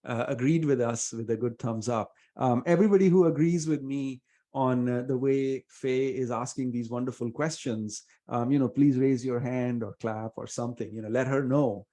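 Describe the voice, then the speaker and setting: Earnest Indian-accented man, mellow voice. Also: medium pitch. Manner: earnest, measured, many fillers. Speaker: venture investor guest. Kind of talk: reflective interview answers.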